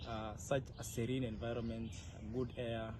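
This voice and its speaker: A young Kenyan man giving earnest interview answers, with frequent hesitant pauses. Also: mid-range voice, level tone. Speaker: interviewee, leadership fellow. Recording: clear ambient background noise.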